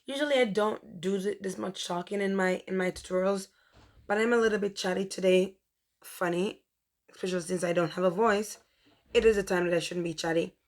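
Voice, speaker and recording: A young woman hosting a chatty crochet tutorial, her voice mostly gone and rough from a dry throat, rambling and correcting herself as she goes. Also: medium pitch, fairly quick. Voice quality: strained, rough. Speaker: crochet tutorial host and shop owner.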